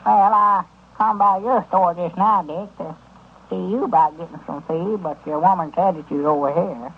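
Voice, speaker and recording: An excitable old Southern storekeeper in a radio comedy. His voice is very high and husky, and he hems and stammers in a folksy rural drawl. It comes through a dull old 1930s recording. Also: moderate pace. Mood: fretful. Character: excitable old country storekeeper turned would-be circus manager.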